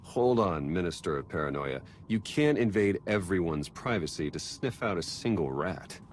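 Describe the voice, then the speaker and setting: An actor playing a wry, skeptical spy and adviser in a video game, informal and sardonic. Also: male voice, deep voice, lively intonation, normal speed, slight background noise. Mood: sardonic, indignant. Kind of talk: cinematic video game voice acting.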